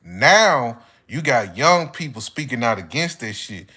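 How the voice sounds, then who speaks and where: A man's voice: mid-range voice, sing-song, unhurried, pushed and bright, slightly rough. An American man giving a casual, opinionated monologue as an online commentator, speaking bluntly with heavy slang and swearing. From United States.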